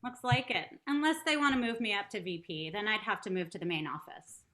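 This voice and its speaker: A woman's voice: mid-range voice, brisk, pushed and bright, close to an everyday voice. A woman acting a friendly, teasing career woman and old flame in a sitcom table read, casual and playful. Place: video call at a table read.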